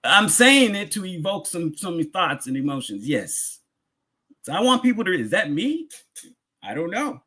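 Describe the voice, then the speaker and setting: An American man delivers a sermon-style lecture in a rough-edged, husky voice. His intonation moves widely as he leans on key words. He keeps it conversational, repeating phrases and posing rhetorical questions to his listeners. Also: high voice, sing-song, a little slow, pushed and bright.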